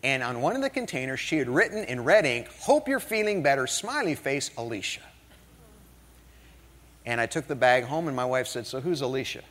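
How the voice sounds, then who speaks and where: A man's voice: mid-range voice, moderate pace. A middle-aged man from the northern United States giving a humorous motivational talk, telling stories with jokes. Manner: humorous, animated storytelling with jokes. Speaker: motivational speaker. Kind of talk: storytelling keynote talk. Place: large hall before an audience. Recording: hall reverb.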